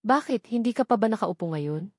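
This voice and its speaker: A woman's voice: mid-range voice, lively intonation, brisk, a little mellow, dry recording, clean studio sound. A woman with a Filipino accent voicing a serious, commanding, possessive young businessman husband in a romance audiobook. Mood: serious, coaxing.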